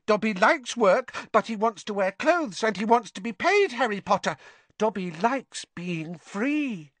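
A man voicing a small, eager male house elf who talks shrilly and excitably about himself in the third person. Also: high-pitched, unhurried, no echo, pitched above the performer's own voice. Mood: delighted. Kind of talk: audiobook character voice.

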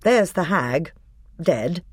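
Reader doing teen boy high king, confident, dry close mic. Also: expressive, takes it slowly, breathy edge, no room sound. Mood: assured.

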